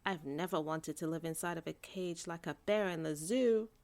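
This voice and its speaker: A woman's voice: on the low side, moderate pace, darkish tone, voice pushed down, natural voice. A woman voicing a sweet, curious little boy teddy bear in a storybook, wide-eyed and wondering. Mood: puzzled.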